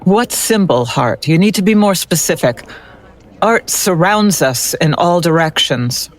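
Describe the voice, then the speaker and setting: A woman playing a skeptical, exacting forensic analyst in an audio drama, with a deadpan, sardonic way of talking. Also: lowish voice, unhurried.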